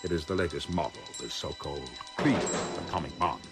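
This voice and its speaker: An actor in a radio drama playing a pompous, coldly ruthless criminal mastermind who talks formally and deliberately with polite menace. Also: male voice, rumbling low, expressive, over loud music. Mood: smug, formal.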